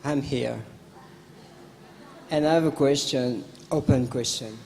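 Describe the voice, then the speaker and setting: A man on a panel posing an open question in a slow, searching, earnest way. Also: medium pitch, gravelly, darkish tone, a little hiss or hum. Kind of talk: earnest, reflective remarks. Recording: long hall reverb with faint ambience.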